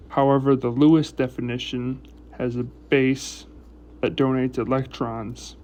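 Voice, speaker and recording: A man giving an informal explanatory lesson in a halting, deliberate way, with choppy phrasing. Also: medium pitch, darkish tone, a little hiss or hum. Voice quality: speech impediment, trips over long words. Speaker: educational video host reviewing math and chemistry. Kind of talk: informal explanatory lesson, part read from notes.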